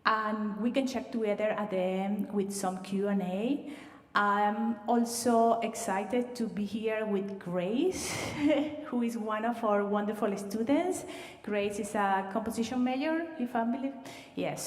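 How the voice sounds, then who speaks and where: A woman's voice: medium pitch, unhurried. Venezuelan woman presenting in a reverberant hall. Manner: warm welcoming, slight non-native phrasing with fillers. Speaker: admissions director. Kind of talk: livestreamed presentation to students. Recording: roomy reverb, voice far from mic.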